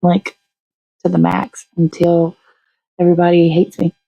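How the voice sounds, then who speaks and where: A woman's voice: on the low side, level tone, measured pace, vocal fry, dark tone, no room sound, low-quality recording. A woman hosting a morning show in casual chat, talking in a blunt, sweary, rambling way.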